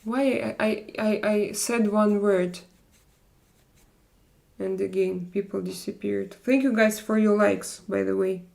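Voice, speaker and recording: Woman streamer, unhurried and a bit gravelly, halting English full of fillers, chatty live stream host. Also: mid-range voice, steady tone, a little mellow. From Russia. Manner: halting, searching for words with many fillers. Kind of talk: casual live stream chat, reading viewer comments.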